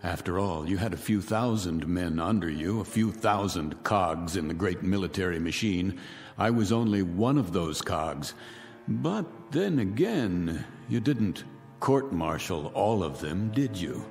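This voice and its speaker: A man's voice: deep voice, expressive, a little slow. An actor playing a bitter, vengeful man who once was a disgraced soldier, taunting his guests with silky, theatrical menace in a radio drama. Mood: sardonic. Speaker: host of the gathering. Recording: reverberant radio drama with music.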